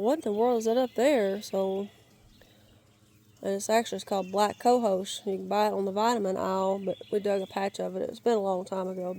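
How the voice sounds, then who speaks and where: A woman with a raspy, crackly voice from a lingering cold, giving a halting, rambling tour of her wooded yard, searching for words and restarting often. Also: mid-range voice, mellow. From Georgia, US. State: raspy, crackly voice while getting over a cold. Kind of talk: casual rambling walk-and-talk. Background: birds and outdoor ambience.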